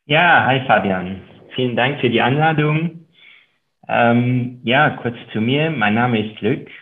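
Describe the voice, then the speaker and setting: A man's voice: medium pitch, slow. A man in his thirties from Luxembourg with a French accent, a guest on a podcast interview, talking hesitantly with many ums. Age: 30s. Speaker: guest investor and author. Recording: tinny phone-like line.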